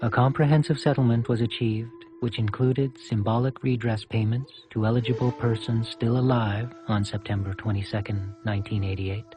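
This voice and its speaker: A man's voice: mid-range voice, level tone, normal speed, hardly any pauses, dark tone. A man with a Canadian accent, reading a reflective scripted narration. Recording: dry, muffled audio with music behind. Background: music.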